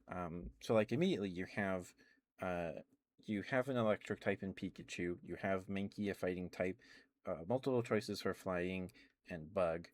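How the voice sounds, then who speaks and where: A man with a deep voice chats casually in the manner of an ASMR streamer. He rambles haltingly, often stammering and restarting his phrases. The sound is close on the microphone and very dry, with hardly any room to it. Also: dry recording. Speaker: livestream host.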